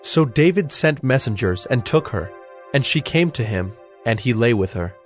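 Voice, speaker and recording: Man narrating bible story, medium pitch, formal and measured, music playing under him. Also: lively intonation. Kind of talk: dramatized scripture narration.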